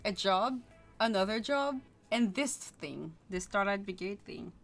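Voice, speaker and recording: A woman artist chatting casually on a stream, bubbly and often laughing, trailing off quietly at times. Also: mid-range voice, expressive, measured pace, soft background music. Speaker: artist and YouTuber, co-host. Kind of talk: casual chat on a livestream.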